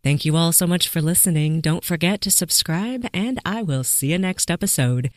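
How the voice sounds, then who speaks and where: A woman's voice: fairly low pitch, moderate pace, dry recording, studio quality. A woman hosting a podcast interview, effusive and encouraging as she wraps up and signs off. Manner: warm, effusive hosting, "so exciting". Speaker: podcast host.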